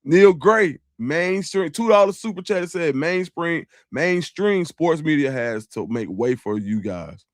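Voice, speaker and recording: A man co-hosting a casual live-stream podcast, reading viewer donations aloud in a relaxed, slangy, grateful way. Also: on the high side, a little slow, hardly any pauses, no echo, studio quality. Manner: relaxed and grateful, slangy, calls people dog and bro. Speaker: show host reading super chats.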